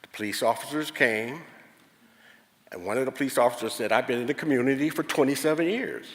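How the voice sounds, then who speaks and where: A man's voice: medium pitch, fairly quick. An older man giving public comment at a meeting, recounting his experiences in an earnest, plainspoken way. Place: large public meeting hall. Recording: reverberant hall.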